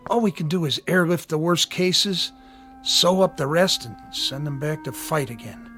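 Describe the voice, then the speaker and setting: An actor playing a frank, world-weary army medical sergeant in a war radio drama. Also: male voice, a little high, normal speed, fairly bright tone, faint music behind, lightly acted. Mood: weary, troubled. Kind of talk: audio drama acting.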